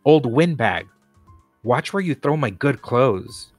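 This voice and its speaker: A man's voice: mid-range voice, lively intonation, slow, no room sound, soft background music. Man putting on a screechy, rude little rat. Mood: threatening. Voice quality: screechy, hissing. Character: rude screeching smelly dead rat in raincoats.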